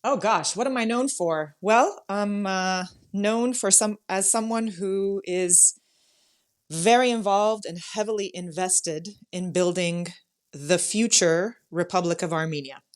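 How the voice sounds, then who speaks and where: An American woman guest speaking earnestly and thoughtfully in an interview, pausing with fillers. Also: medium pitch. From United States. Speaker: guest, lawyer and founder. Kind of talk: casual interview answers.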